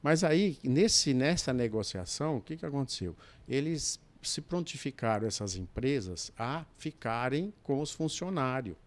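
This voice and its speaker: An older Brazilian man, in his sixties, talks through his past at an easy pace. His pitch sits in the middle, and he rambles, doubles back and drops asides mid-sentence. He sounds like a guest at a podcast table. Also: lively intonation, a little slow, few pauses. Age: 60s. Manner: rambling, restarts often, adds asides. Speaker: podcast guest, businessman and dispatcher. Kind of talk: relaxed storytelling in a chat.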